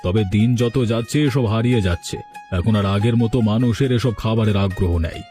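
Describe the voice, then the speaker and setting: A man voicing a genial, nostalgic ageing village gentleman in a cartoon story. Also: lowish voice, a little fast, faint music behind, close to an everyday voice. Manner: reminiscing, genial.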